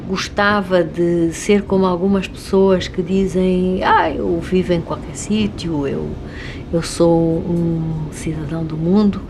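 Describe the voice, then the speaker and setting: Slow, pensive Angolan woman, older. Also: lowish voice, over music, rough recording. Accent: Angolan Portuguese. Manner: slow, hesitant, thoughtful with many pauses. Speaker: interviewee. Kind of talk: reflective documentary interview.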